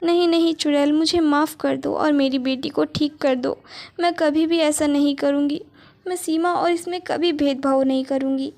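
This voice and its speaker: A woman's voice: high-pitched, steady tone, normal speed, gravelly. A woman voicing a harsh, scolding mother in a spoken storybook. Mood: pleading. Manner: sharp, scolding, later pleading. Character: mean middle-aged mother, harsh and scolding.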